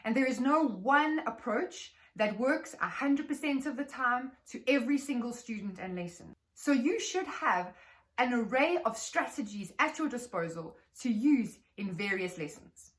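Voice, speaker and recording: A South African woman giving an instructional talk to teachers as a trainer, explaining in a measured, articulate way. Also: a little high, animated.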